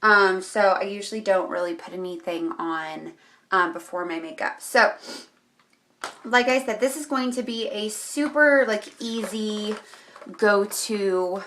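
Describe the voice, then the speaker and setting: A woman's voice: medium pitch, sharp tone, slight background noise. A young woman who is losing her voice chats her way through a casual makeup tutorial, with lots of ums and likes. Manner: rambling, chatty, many ums and likes. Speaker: beauty vlogger.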